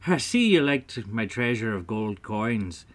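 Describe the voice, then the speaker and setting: A man's voice: lowish voice, big pitch swings, measured pace, pitched above the performer's own voice. A man voicing a polite, merry little leprechaun in a storybook tale, with courteous, exclamatory speech. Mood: cheerful.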